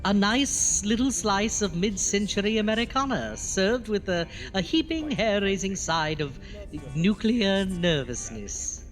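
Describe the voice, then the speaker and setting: A theatrical horror host played by an actor holds court in a campy audio drama. The delivery is slow and drawn out, swinging widely in pitch, with a ghoulish, easily exasperated boss's flourish. Music plays prominently behind the voice. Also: sing-song. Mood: campy, gleeful.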